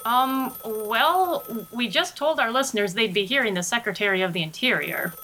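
A woman's voice: on the high side, animated, moderate pace, natural voice. A woman playing a flustered, blunt female news announcer in a 1930s radio spoof, emphatic and indignant, on a noisy recording. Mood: flustered, formal. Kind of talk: 1930s radio broadcast parody acting.

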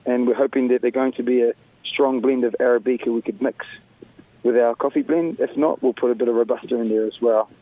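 A man from Sierra Leone calling in by phone to a radio show, speaking thoughtfully and pausing to find his words. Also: mid-range voice, steady tone, no echo. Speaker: caller. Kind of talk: phone interview. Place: at a hockey game. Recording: tinny phone line.

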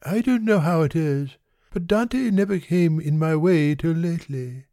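Man voicing a languid, mellow foppish gentleman. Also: a little high, measured pace, dry recording, clean studio sound, natural voice. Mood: languid, gallant. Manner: languid, affected, courteous. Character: languid, foppish, indolent young gentleman, politely bored. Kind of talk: dramatised classic novel reading.

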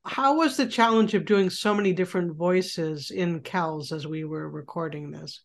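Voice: medium pitch, moderate pace, creaky. An interviewer asking brief, curious questions about voice acting.

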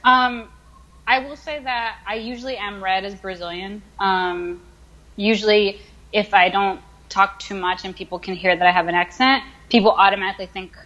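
A woman's voice: mid-range voice, steady tone, moderate pace, fairly bright tone. Chatty young woman, muffled lo-fi sound. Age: 20s. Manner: chatty, filler-heavy, thinks aloud. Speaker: interview guest, researcher. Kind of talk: casual conversation.

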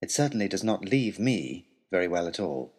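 A man's voice: low-pitched, very animated, normal speed, darkish tone, breathy edge, exaggerated voice. An actor playing a put-upon, wry male tutor, reading his pompous, wordy, aggrieved letter aloud in a comic audio story. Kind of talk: scripted comic narration as a letter read aloud.

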